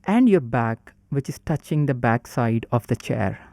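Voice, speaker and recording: A man guiding a breathing meditation in a calm, instructive way. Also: mid-range voice, unhurried, vocal fry, no echo, clean studio sound. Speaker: meditation guide.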